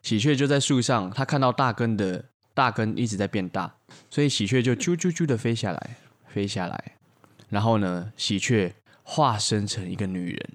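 Male co-host, lowish voice, playful ad-libbed storytelling and banter. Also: expressive, moderate pace. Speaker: podcast co-host improvising a story.

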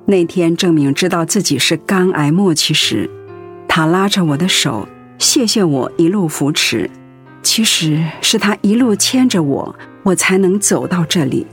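Radio drama widow: slightly low pitch, gentle, earnest, devoted. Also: female voice, animated, measured pace, soft background music. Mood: earnest, tender. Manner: earnest, tender. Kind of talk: Mandarin radio drama acting.

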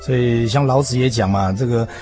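Male preacher guest, Taiwanese Mandarin, halting phrase-by-phrase reflection in medium pitch, clear music bed behind. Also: darkish tone. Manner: halting, phrase by phrase with many sentence-ending particles. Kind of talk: conversational religious commentary. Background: background music.

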